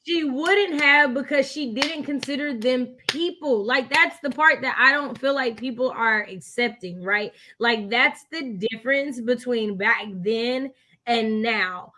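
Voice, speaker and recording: A woman's voice: high voice, unhurried, sharp tone. A woman in a casual book discussion, speaking in an opinionated, emphatic way and repeating points for emphasis. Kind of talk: casual live discussion of classic books.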